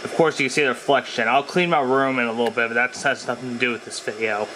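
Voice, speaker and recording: A man's voice: medium pitch, fairly even intonation, fast talker, background noise. A young adult man narrating a casual hands-on demo in a plainspoken, offhand way, recorded in a room at home from behind the camera. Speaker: gadget demonstrator.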